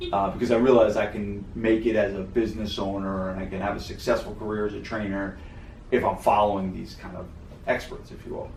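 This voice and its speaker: A man's voice: lowish voice, level tone, a little fast, a little mellow. A man, a gym owner co-hosting a podcast, talking earnestly and rambling with many "like"s and "you know"s. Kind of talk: casual podcast talk. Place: podcast studio. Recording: roomy, mic at a distance, faint noise.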